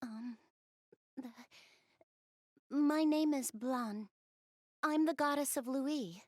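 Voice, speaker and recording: A woman's voice: sits high, extremely slow, no room sound, clean studio sound. A woman voicing a shy, bookish young goddess girl in a video game dub, speaking politely and earnestly with bashful hesitation. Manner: hesitant, bashful, polite, rambling about hobbies. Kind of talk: English video game dub, visual novel dialogue.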